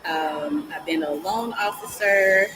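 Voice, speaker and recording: A woman's voice: sits low, takes it slowly, over music, rough recording. A middle-aged woman from Mississippi with a Southern accent, explaining her consulting business as an interview guest with frequent ums. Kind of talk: casual interview talk.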